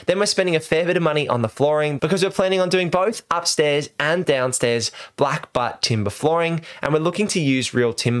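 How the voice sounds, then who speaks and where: A man's voice: a little high, fairly bright tone, clean tone. An Australian man in his thirties explaining things as a vlog host, speaking into a close desk microphone in a small room at home. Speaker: host of a home renovation video series. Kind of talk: explanatory vlog talk to camera. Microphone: desk microphone close to mouth.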